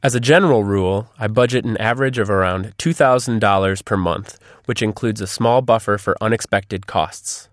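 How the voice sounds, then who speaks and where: A man with a fairly low voice plays the guest in an interview. He delivers prepared, read-aloud answers in a dry recording with no echo.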